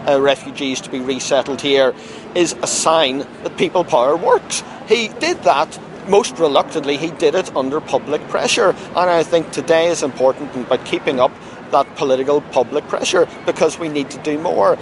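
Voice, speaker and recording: A man speaking in a measured, campaigning way in a news interview at a rally. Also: on the high side. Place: outdoors at a city rally. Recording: outdoor traffic noise.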